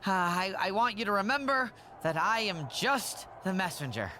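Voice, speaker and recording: A jittery fawning manager. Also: male voice, high voice, sing-song, normal speed, pushed and bright, slight background noise, heavily acted. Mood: nervous. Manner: anxious, rambling, sycophantic. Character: nervous male wrestling manager, fawning. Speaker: wrestling show official. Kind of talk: video game cutscene acting.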